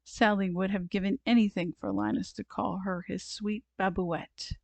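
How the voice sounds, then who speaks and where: A woman narrating a children's storybook aloud, expressive and deliberate in her phrasing. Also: mid-range voice, gravelly, no echo. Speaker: storybook reader.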